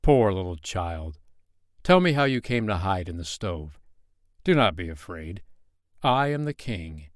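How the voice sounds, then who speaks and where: A man as a kind young king, slow, soft reassuring tone, lowish voice, dry. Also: animated, dry recording, natural voice. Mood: gentle.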